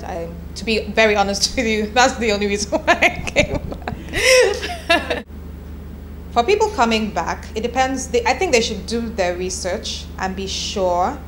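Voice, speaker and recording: A woman in her thirties, from Nigeria, answering interview questions in a candid, chatty way with restarts. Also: medium pitch. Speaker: copywriter, interviewee. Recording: echoey room with background noise.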